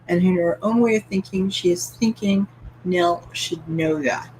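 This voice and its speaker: A woman's voice: lowish voice, measured pace, darkish tone, slight background noise, poor audio. A woman from Canada hosting a live-streamed book club, asking open questions and reading viewer comments aloud with light laughter.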